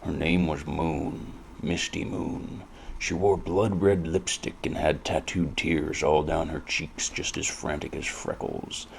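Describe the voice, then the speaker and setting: An American actor playing a world-weary, cynical, hard-drinking detective who narrates in a brooding, deadpan, gravelly noir style. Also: male voice, extremely low pitch, slow, a little hiss or hum, heavily acted. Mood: wistful, brooding. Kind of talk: scripted first-person hardboiled noir narration.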